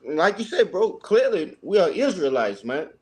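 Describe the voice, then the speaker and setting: A man taking part in a Bible study discussion, speaking casually in halting, colloquial phrases. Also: high voice, very animated, a little mellow.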